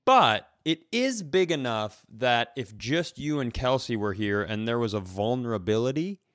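An American man chats casually as a comedy podcast co-host. His voice sits at a middle pitch with a dry, roomless sound, and he rambles into digressions as he talks. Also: lively intonation, moderate pace, a bit bright, no echo. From Minnesota, United States.

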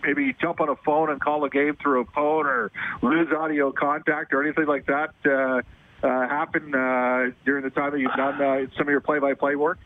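A man's voice: on the high side, moderate pace, vocal fry, no room sound. A man hosting a radio interview, asking long, rambling questions. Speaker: radio show host. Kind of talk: casual interview over the phone. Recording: tinny phone line, dry.

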